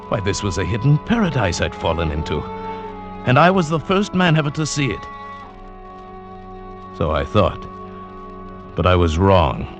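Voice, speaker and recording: An actor playing an eager, self-assured mountaineer telling his adventure in theatrical vintage radio drama style. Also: sits low. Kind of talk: vintage radio drama acting, narration and dialogue. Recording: vintage radio broadcast with music behind.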